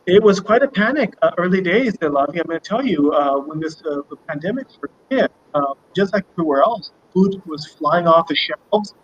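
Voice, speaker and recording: A man with a fairly high voice talks as an interview guest. His delivery is conversational and emphatic, doubling back on words. The sound is slightly dull, with clear background noise. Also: lively intonation, normal speed, few pauses. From United States. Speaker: company co-founder, interview guest. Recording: muffled online call with background noise.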